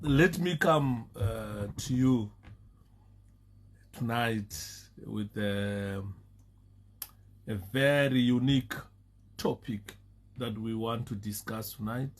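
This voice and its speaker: A man's voice: medium pitch, slow pace, long pauses. A Zimbabwean man talking casually on a live stream, stopping and repeating words and laughing often. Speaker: Facebook live host.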